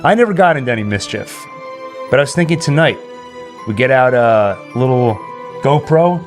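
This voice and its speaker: A man hosts a casual live stream in a chatty, joking way. His voice sits in a middle register, with soft ambient music running behind it. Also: medium pitch, expressive, normal speed. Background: ambient Halloween music.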